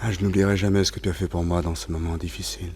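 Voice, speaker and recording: Smooth cad in a radio crime play, lowish voice, offhand and sly. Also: male voice, little pitch movement, rapid-fire, darkish tone. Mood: wooing, offhand. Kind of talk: French radio play acting.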